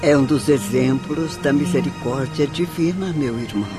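A man acting a gentle, pious caretaker of souls, soothing and measured, in a radio drama. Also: medium pitch, over loud music, close to an everyday voice. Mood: gentle, reassuring.

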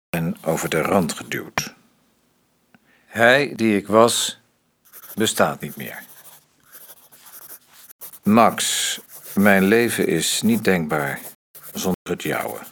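An actor playing a troubled, articulate middle-aged politician in a radio drama, speaking haltingly. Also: male voice, low voice, creaky, a bit bright, slightly rough, full character voice. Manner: halting, repeats himself when pressed.

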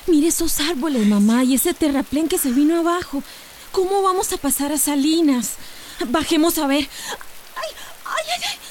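Young pregnant daughter, high voice, strained, Central American accent, radio drama, faint background noise. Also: female voice, animated, normal speed, a little mellow. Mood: pained, worried. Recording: faint ambient noise behind.

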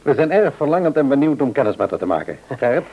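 An actor playing a mild, patient middle-aged father in a radio serial, speaking in a measured, good-humoured way. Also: mid-range voice, big pitch swings, gravelly, husky, phone-quality sound, exaggerated voice. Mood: pleased. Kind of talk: Dutch radio serial acting.

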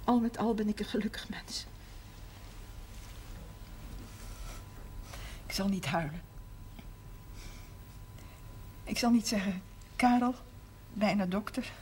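An actress playing a middle-aged, emotional but steadfast mother in a 1970s TV drama, speaking in measured, earnest phrases. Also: mid-range voice, a little mellow, lightly acted. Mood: composed, solemn. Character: steadfast, emotional mother of a large Limburg family. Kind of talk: 1970s television drama acting. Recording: noisy old TV soundtrack.

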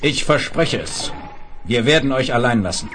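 Ein Schauspieler spricht in einem Science-Fiction-Hörspiel einen entschlossenen Raumschiffkommandanten, dramatisch und mit Autorität. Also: male voice, medium pitch, lively intonation, moderate pace, background noise, close to an everyday voice. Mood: firm, commanding. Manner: dramatic, authoritative, clipped statements. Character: resolute male space commander and imperial administrator. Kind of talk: 1970s German science fiction radio drama acting.

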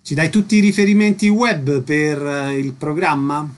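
A man's voice: a little high, steady tone, moderate pace. A man hosting a radio interview, asking brief, direct questions. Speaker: radio host.

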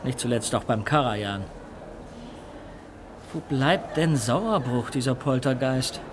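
An actor playing an aloof older conductor, measured and haughty. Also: male voice, mid-range voice, animated, noisy background. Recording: music playing underneath.

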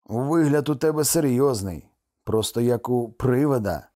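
A man's voice: medium pitch, moderate pace, warm tone, close to an everyday voice. A man voicing a skeptical ship's boatswain in a storybook, drawling and doubtful. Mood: surprised, doubtful. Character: wary, slow-talking ship's boatswain, skeptical drinking companion.

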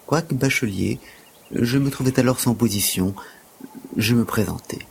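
A man's voice: medium pitch, normal speed, gravelly, a little mellow, breathy edge. A man playing a humble, deferential gymnastics teacher in an audio drama, speaking in earnest, wordy, formal phrases. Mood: courteous storytelling.

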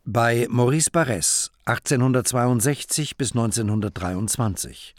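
Measured male announcer, very dry sound. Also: medium pitch, no echo. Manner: measured, factual. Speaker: announcer of scenes and characters. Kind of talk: scripted narration, terse.

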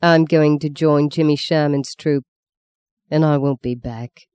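An embittered young man, acted by a male narrator, wounded and scornful. He sounds smooth and close in a dry audiobook recording. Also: a little high, fairly even intonation, a little slow, a little mellow, no room sound, lightly acted. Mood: empty, resigned. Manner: mocking, embittered. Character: bitter, scornful, wounded young man defying his father.

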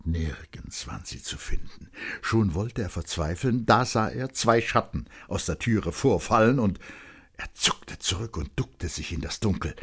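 A man reads literary fiction as a radio narrator. His voice is very low and husky, and he shapes his phrases deliberately, with expressive suspense.

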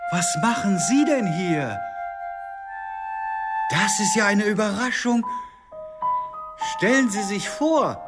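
Eccentric excitable neighbour man, radio drama, sing-song swoopy delivery, drawn out, loud piano behind. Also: high voice, full character voice. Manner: exclaiming, delighted, theatrical. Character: effusive neighbour, eccentric birdwatching enthusiast, male.

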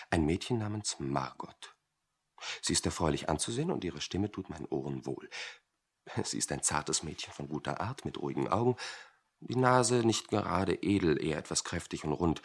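An actor playing a flustered, indecisive company director in a radio play, husky, hesitant and easily exasperated. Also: male voice, very deep voice, full character voice. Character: flustered, indecisive male company director, pondering his engagement.

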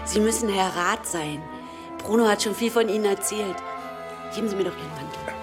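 A woman's voice: medium pitch, brisk, over loud music, lightly acted. A woman playing a courteous, welcoming hostess in a radio drama, friendly and gracious. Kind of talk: naturalistic radio drama acting.

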